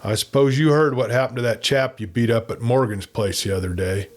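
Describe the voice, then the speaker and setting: A man's voice: medium pitch, fairly even intonation, brisk, natural voice. A man voicing a laconic, secretive cowboy outlaw, telling things in an understated way. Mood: guarded. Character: laconic, regretful outlaw bringing news.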